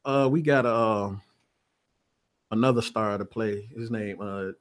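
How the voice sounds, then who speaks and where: Low-voiced American man, casual guest. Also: unhurried. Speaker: stage play actor, interview guest. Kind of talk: casual chat.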